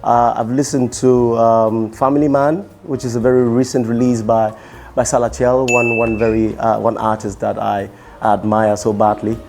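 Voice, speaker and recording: A young man, a musician answering quick-fire interview questions in a tired, strained voice, halting and repeating his words. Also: medium pitch, little pitch movement, gravelly, music in the background. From Cameroon. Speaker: musician guest.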